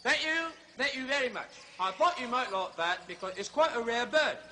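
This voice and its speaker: A man's voice: very high-pitched, moderate pace, a little hiss or hum. A man playing a fussy, chattering comic zoo show host. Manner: excitable, chattering, fussy showman.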